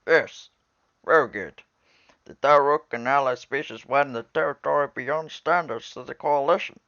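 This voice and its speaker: A man plays a patient alien military commander from an animated sci-fi film. He speaks formally and proudly, like a mentor briefing trainees. The recording is very dry and slightly dull. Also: medium pitch, no echo. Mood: instructive. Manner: formal, explanatory, measured.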